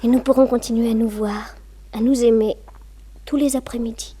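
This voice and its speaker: Lovestruck young society girl in a radio melodrama, highish soft dark tone, very emotional. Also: fairly even intonation, moderate pace, husky. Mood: adoring, pleading. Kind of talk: French radio play acting.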